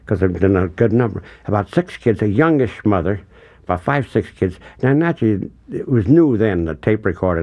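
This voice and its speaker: An older man interviewing a guest, telling stories animatedly and acting out the people he quotes, his voice jumping up for emphasis. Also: highs cut off. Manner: animated storyteller, acts out quotes, pitch jumps up for emphasis. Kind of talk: conversational interview.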